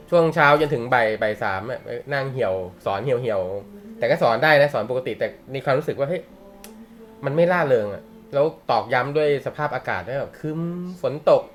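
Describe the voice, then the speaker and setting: A young man teaching a manga class in a casual, joking way, laughing often and using slang. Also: mid-range voice. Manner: chatty, laughs often, uses slang and swears. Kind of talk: casual live class talk.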